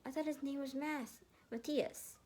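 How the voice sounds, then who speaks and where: A woman voicing a shy, sweet teenage boy in a story, hesitant and soft-spoken. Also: high-pitched, steady tone, a little slow, voice pushed up. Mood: puzzled.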